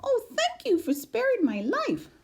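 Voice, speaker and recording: A woman voicing a tiny, timid female mouse who speaks gently and earnestly in a high squeaky voice. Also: huge pitch swings, full character voice. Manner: high squeaky voice, slow and careful.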